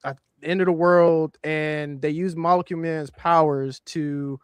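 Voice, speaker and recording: A man with a smooth, resonant voice a little higher than most talks in a rambling, profane way full of fillers. The sound is dry and close. It is a loose pop culture chat. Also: steady tone, measured pace, no echo.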